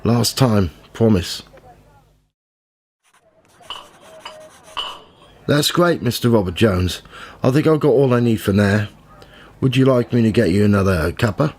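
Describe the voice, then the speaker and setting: A man's voice: on the low side, lively intonation, normal speed, a little mellow, a little hiss or hum. A man in his fifties playing a cynical, world-weary journalist in a radio play, with a wry, dry manner. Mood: politely persistent. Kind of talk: radio play narration and dialogue.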